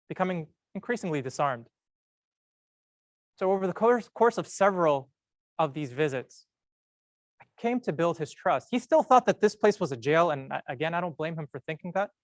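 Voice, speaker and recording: A young man tells a prepared story in a measured, earnest way, slowing down and pausing to stress key words. His voice sits a little high for a man. The sound is close and dry, with almost no room echo. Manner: measured, earnest delivery with slow emphatic pauses. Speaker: medical student speaker.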